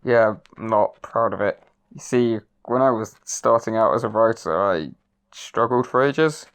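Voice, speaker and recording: A woman's voice: rumbling low, a little slow. A woman playing a pompous male writer, polite but flustered and stammering when cornered. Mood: explaining. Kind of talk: amateur radio play.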